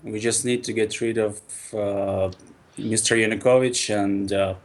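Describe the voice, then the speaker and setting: A man's voice: fairly low pitch, fairly even intonation, a little slow. Earnest man. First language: Russian. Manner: earnest, hesitant with many fillers. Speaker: caller from Ukraine. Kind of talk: radio phone-in debate.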